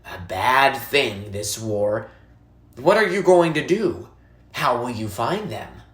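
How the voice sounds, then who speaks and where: A storybook narrator voicing a reserved, sympathetic old village woman who speaks plainly and gravely. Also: medium pitch, expressive, takes it slowly. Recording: echoey, roomy sound.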